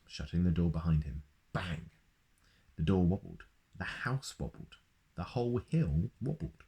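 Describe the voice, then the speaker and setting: A man's voice: low-pitched, dark tone. A man reading a children's picture book aloud in a playful way, adding sound effects. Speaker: storyteller.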